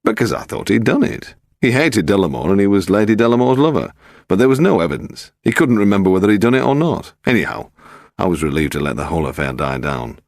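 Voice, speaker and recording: A narrator plays a senior British police detective in an audiobook, brusque and sardonic. His gravelly voice is animated and clipped. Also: on the low side, fast, no echo, studio quality. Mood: wry, matter-of-fact. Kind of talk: audiobook character dialogue.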